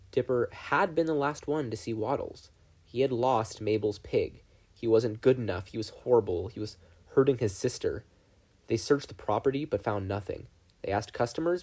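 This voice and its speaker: A man reading a scripted story as narrator, with a slightly husky voice. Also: medium pitch, a little mellow. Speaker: fan fiction podfic reader.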